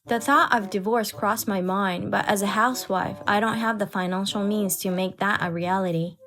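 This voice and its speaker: A woman's voice: mid-range voice, fairly even intonation, normal speed, fairly bright tone. A woman reading scripted first-person story narration as a put-upon wife, with music underneath. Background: background music.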